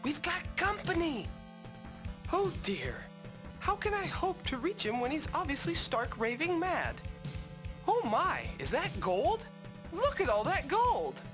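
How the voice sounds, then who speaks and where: A woman's voice: high voice, wildly swooping pitch, unhurried, exaggerated voice. A woman voicing a precocious, polite young hero in a video game, with a formal, wordy, dry manner. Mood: worried. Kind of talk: video game cutscene acting. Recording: game music under the voice.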